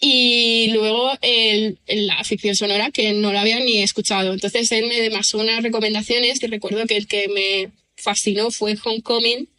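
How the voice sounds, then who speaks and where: Woman from chatting on a podcast, bright voice, kinda monotone, lots of um and o sea. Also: a little high, normal speed, hardly any pauses. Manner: thoughtful, rambling with many fillers and restarts. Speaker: writer and screenwriter guest. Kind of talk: casual podcast interview.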